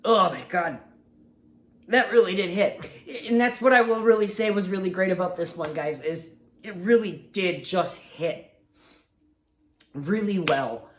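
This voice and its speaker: A man's voice: sits high, a little slow, long pauses, a bit bright. Sick man chatting movie reviews. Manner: rambling, heartfelt, chatty. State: sick, sniffling, voice fading. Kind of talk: casual solo movie review. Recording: roomy echo.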